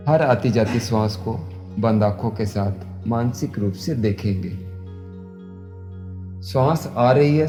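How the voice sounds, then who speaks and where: A man, a yoga instructor leading guided meditation in measured, repetitive instructions. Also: medium pitch, warm tone. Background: new-age music.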